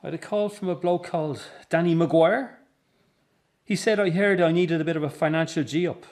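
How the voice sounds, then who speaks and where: An actor playing a middle-aged circus boss in a radio drama, defensive, bitter and short-tempered. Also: male voice, on the high side, moderate pace, a little mellow, lightly acted. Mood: angry, accusing. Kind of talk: naturalistic radio drama acting.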